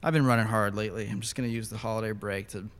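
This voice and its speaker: An actor playing a grieving, prickly widower in an audio drama, halting and evasive. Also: male voice, on the low side, steady tone, lightly acted. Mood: evasive, irritated. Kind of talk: naturalistic audio drama acting.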